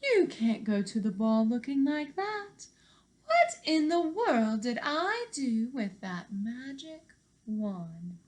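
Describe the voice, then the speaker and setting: A woman voicing a kindly small fairy godmother from a storybook, gentle and coaxing. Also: on the high side, very animated, slow. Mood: gentle, encouraging.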